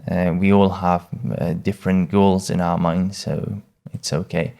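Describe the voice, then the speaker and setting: A man with a low, gravelly voice walks through a software tutorial. His delivery is casual and halting, with words repeated as he goes. He takes his time over each step. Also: level tone. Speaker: tutorial presenter.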